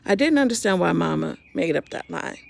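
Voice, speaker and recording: A woman reading a children's story aloud in an expressive, simple storytime manner, talking directly to young listeners. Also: lowish voice, fairly quick, vocal fry.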